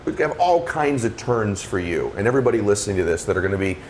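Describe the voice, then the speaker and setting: Deep-voiced effusive male podcast host. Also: quick pace, a little hiss or hum. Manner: effusive, animated, heaps on praise. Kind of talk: enthusiastic interview chat.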